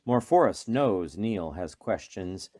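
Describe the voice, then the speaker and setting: A man narrating a radio play from a script in a measured, deliberate, dramatic way. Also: low voice, expressive, warm tone. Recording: faint music behind.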